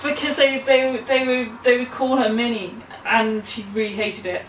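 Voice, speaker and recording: A woman in a casual fan chat, eager and quick to jump in. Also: on the high side, steady tone, reverberant, far from the mic, a little hiss or hum, low-quality recording. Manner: eager, talks over others, laughs often.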